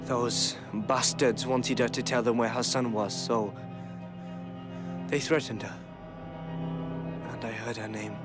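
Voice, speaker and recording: A man's voice: mid-range voice, fairly even intonation, takes it slowly, pauses often, over loud music, close to an everyday voice. An actor playing a tough, weary young male thief with dry wit in a medieval game drama. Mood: grim, bitter. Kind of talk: cinematic video game drama acting.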